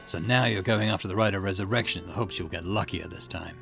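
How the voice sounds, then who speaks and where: A blunt, contemptuous man from a game, voiced by a man in a very deep, gravelly register. He speaks in curt jabs over clearly audible game music. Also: fast. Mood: scornful. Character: blunt, scornful man confronting a killer. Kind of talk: reading game dialogue aloud.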